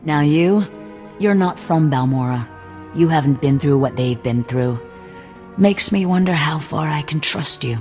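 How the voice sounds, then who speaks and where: A man's voice: fairly high pitch, unhurried, a little mellow, soft background music. An actor playing a hard, distrustful male rebel leader with cybernetic implants, talking curtly and with open threat in a game cutscene. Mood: hostile. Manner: gruff, threatening, curt. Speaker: resistance cell leader. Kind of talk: video game cutscene acting.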